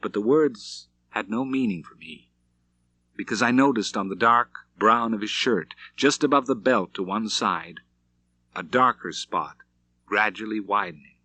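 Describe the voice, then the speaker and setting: Male audiobook narrator, low pitch, bright forceful tone, dry studio sound, dramatic western storytelling. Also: measured pace, no echo.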